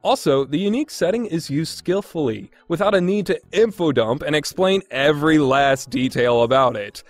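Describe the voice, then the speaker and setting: A man's voice: fairly high pitch, normal speed, no echo. A man narrating a scripted countdown review as a video game reviewer, informal and opinionated.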